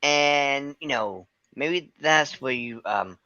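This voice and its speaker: A man joining a livestream chat with jokey, quick interjections. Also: mid-range voice, animated, sharp tone, highs cut off. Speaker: livestream guest. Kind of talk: casual chat.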